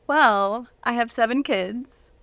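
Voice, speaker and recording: An adult woman, a guest and reader, speaking at a medium pitch. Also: takes it slowly, fairly bright tone, dry recording.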